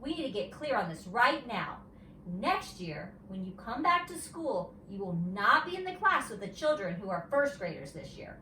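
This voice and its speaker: A woman's voice: mid-range voice, big pitch swings, moderate pace, sharp tone. Woman reading as a patient kindergarten teacher, roomy sound. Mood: firm, exasperated. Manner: explaining carefully to young children. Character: patient, gently firm female kindergarten teacher. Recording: roomy, distant mic, faint noise.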